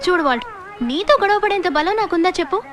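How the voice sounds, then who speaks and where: Earnest policewoman, high-pitched, fast, scolding and pleading, comedy film, prominent background music. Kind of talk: Telugu film comedy acting.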